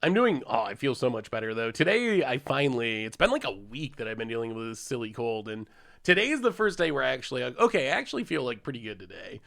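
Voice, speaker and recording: A man's voice: mid-range voice, very animated, fast talker, gravelly, fairly bright tone. Congested man chatting through a game stream. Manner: chatty, laughs often, thanks chat subscribers. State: congested from a cold. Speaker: streamer playing a card game. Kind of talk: casual live-stream commentary while playing.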